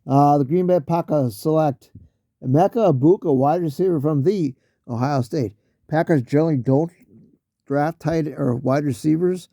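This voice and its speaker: A man losing his voice, talking casually through a solo mock draft, halting with fillers and often clearing his throat. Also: a little high, dark tone, dry recording, clean studio sound.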